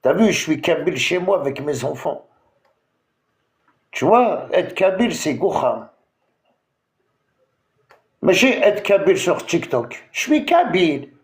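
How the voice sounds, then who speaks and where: A man's voice: fairly high pitch, very animated, measured pace, long pauses, reverberant. A man from Algeria, hosting a TikTok live and ranting at someone with many hesitations. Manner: confrontational, repetitive, full of hesitations. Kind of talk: heated live-stream rant.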